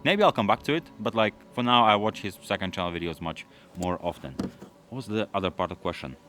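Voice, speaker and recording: A man who grew up in London, speaking Russian as his first language, answering viewer questions in a relaxed, rambling casual chat. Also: fairly low pitch, fast, soft background music. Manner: relaxed, rambling, with frequent fillers and restarts. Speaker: automotive YouTuber.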